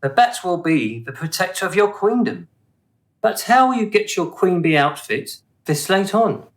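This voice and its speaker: An actor playing a polite, hesitant gentleman courting a colleague in a radio play. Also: high-pitched, big pitch swings, normal speed. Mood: gallant, playful.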